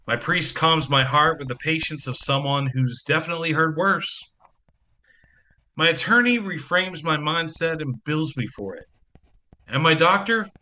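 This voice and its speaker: An actor playing a harried single dad in an audio play, joking and self-mocking in a theatrical manner. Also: male voice, on the high side, pushed and bright, exaggerated voice. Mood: rueful.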